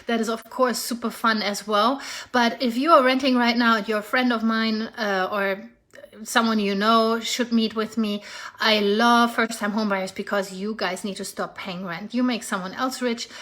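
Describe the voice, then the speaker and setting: A woman's voice: medium pitch, a bit bright. A woman with a German accent, a real estate agent giving a casual, upbeat market update straight to her viewers, chatty and persuasive with small slips.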